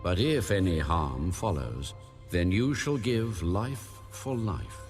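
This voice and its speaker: Deep-voiced man solemnly reading scripture. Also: expressive, takes it slowly, soft background music. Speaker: Bible audiobook narrator. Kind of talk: formal scripture narration.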